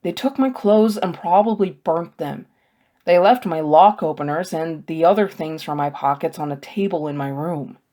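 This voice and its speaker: A woman's voice: sits low, moderate pace, dark tone, close to an everyday voice. A woman voicing a wry, sardonic young male thief in a storybook, with a dry, sarcastic manner. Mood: irritated, hushed.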